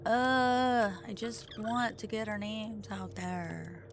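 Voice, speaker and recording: A woman's voice: mid-range voice, steady tone, takes it slowly, a little hiss or hum. A woman VTuber streamer chatting casually with her chat, swearing often and poking fun at herself. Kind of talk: casual livestream chat, answering chat.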